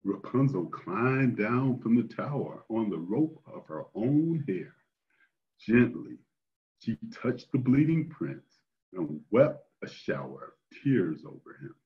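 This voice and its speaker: An older man hosting a story time, speaking in a deliberate, measured way as he reads aloud and chats with listeners. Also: mid-range voice. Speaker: storyteller and host. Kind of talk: expressive read-aloud storytelling, then casual chat. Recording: dull, lo-fi online call audio.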